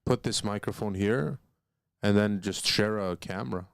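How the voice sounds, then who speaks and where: A man's voice: on the low side, moderate pace, creaky, clean tone, no echo, studio quality. A man co-hosting a livestream, joking and teasing in casual chat. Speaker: co-host.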